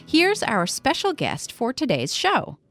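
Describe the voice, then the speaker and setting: A woman's voice: on the high side, wildly swooping pitch, a little slow, a bit bright, faint music behind. A woman hosting a podcast for children, narrating in an upbeat, playful way. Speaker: podcast host.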